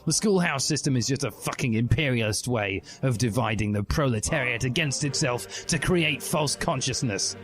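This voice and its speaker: A man's voice: medium pitch, animated, moderate pace, hardly any pauses, fairly bright tone, pitched above the performer's own voice, close to an everyday voice. A man voicing a self-important, indignant teenage boy revolutionary in a comic story reading. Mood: outraged. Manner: indignant, bombastic. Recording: music behind the voice.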